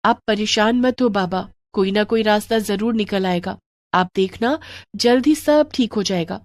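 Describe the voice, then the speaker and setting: A woman voicing a caring, responsible young daughter in a moral story, gentle and reassuring. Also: mid-range voice, unhurried, lightly acted. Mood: concerned, reassuring. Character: caring, responsible young daughter looking after her family.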